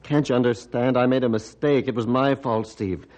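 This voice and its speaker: An actor playing a commanding, exacting surgeon in old-time radio melodrama style. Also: male voice, medium pitch, fairly even intonation, moderate pace, a little mellow, close to an everyday voice. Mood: guilt-stricken. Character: commanding, exacting male surgeon burdened by guilt.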